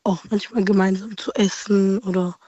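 A woman in her twenties calling a radio show, hoarse from a cold, with a low, calm voice. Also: normal speed, no room sound, highs cut off. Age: 20s. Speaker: caller. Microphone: phone line.

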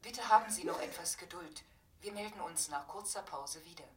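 An actress playing a formal, polite television continuity announcer, measured and apologetic, in a radio play. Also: female voice, lowish voice, far from the mic, close to an everyday voice. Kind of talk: 1980s broadcast announcement. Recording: announcement from a television across room.

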